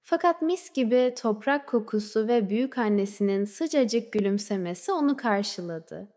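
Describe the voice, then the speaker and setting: A woman narrating a scripted children's fairy tale in a measured storybook way, letting phrases trail off softly. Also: a little high, expressive. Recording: long reverberant hall-like echo.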